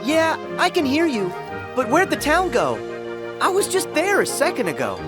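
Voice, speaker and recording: A man playing an upbeat, brave teenage boy hero in an animated video game, with an American accent and an earnest, casual manner. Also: very high voice, normal speed, over loud music, heavily acted. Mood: surprised.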